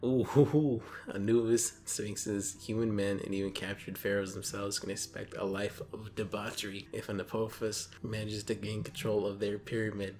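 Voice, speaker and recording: A man co-hosting a casual chat, reading bits aloud and reacting to them in a jokey, animated way. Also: on the low side, moderate pace, hardly any pauses. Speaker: host.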